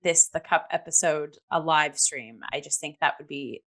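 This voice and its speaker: A woman's voice: low voice, normal speed, fairly bright tone. Playful gushing woman co-hosting a show. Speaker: podcast co-host. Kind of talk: casual chatty review show.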